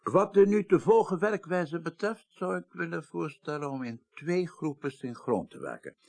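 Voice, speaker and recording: Hoffelijke voorzitter met levendige intonatie. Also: male voice, a little high, sing-song, normal speed, darkish tone. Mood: measured, formal. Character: courteous senior parliamentary chairman, measured and paternal. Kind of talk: 1980s Dutch radio play acting.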